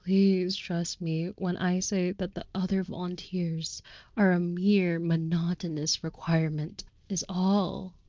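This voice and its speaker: A woman's voice: on the low side, little pitch movement, slow, warm tone, no room sound, highs cut off. A woman voicing a devoted, overprotective female vampire in an intimate audio roleplay, with formal, old-fashioned, tender and earnest phrasing.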